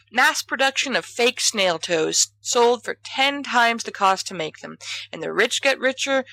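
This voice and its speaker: A woman's voice: mid-range voice, pushed and bright, no room sound, low-quality recording. A woman voicing a boastful, theatrical teenage schoolboy who rambles grandly in an audiobook story.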